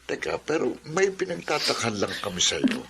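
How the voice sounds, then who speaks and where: An actor playing a kindly older neighbor man who speaks in a gruff but caring way, with folksy fillers, in a classic radio drama. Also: medium pitch, wildly swooping pitch, normal speed, noisy background.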